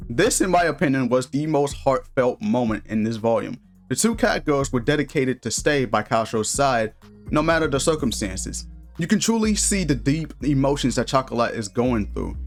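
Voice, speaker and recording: Male reviewer, average pitch, informal and cheeky scripted narration, light music bed behind the voice. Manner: informal, slangy, crude humor. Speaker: video reviewer. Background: background music.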